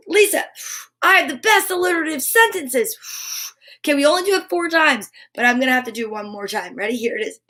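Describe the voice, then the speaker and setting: A woman's voice: on the high side, bright, forceful tone. A woman hosting a live children's story show, chatting to viewers in an exuberant, emphatic, informal and encouraging way. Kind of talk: upbeat live chat to viewers, reading picture books aloud.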